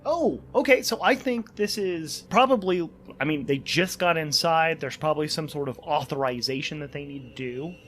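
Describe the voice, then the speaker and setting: A man's voice: on the high side, fairly bright tone. A man hosting a solo tabletop game, thinking aloud informally and correcting himself as he goes, with ambience behind him. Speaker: solo game player and podcast host.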